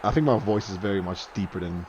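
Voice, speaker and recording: Young guy commentating an online football match, lowish voice, muffled lo-fi stream audio w faint hiss. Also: fast. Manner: chatty, rises sharply on goals and saves, fillers and restarts. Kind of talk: live play-by-play commentary.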